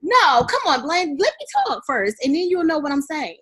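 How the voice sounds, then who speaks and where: A woman co-hosting a podcast in casual chat, lively and quick to take charge. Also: high voice. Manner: lively, laughing, takes charge. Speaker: podcast co-host.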